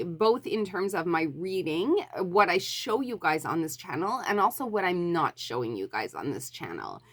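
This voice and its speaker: Chatty stuffy-nosed woman book vlogger. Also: lowish voice. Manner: rambling, self-correcting, many fillers. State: has a cold, slightly nasal. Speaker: book YouTuber. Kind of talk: casual chat to camera.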